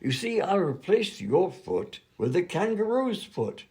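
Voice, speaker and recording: A man's voice: high-pitched, very animated, measured pace, darkish tone. A man playing an absent-minded, fussy and polite mad doctor and inventor in a comedy radio play. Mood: breezy, fussy.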